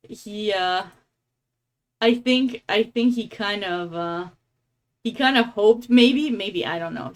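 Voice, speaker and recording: A woman from Romania with a Romanian accent, giving casual, chatty live game commentary as a streamer, with affectionate asides. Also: medium pitch.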